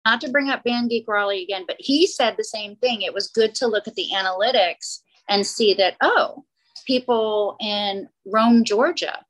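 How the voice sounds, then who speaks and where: A woman's voice: medium pitch, a bit bright, poor audio. A woman co-hosting a podcast in casual chat, talkative and rambling, laughing easily. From southern United States. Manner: chatty, rambling, quick laughs. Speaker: podcast co-host.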